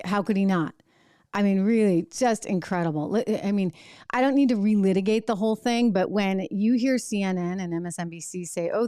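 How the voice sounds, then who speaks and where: A woman's voice: medium pitch, dark tone, no room sound, studio quality. A woman hosting a political commentary show, speaking emphatically and reacting to clips with rhetorical questions. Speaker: host.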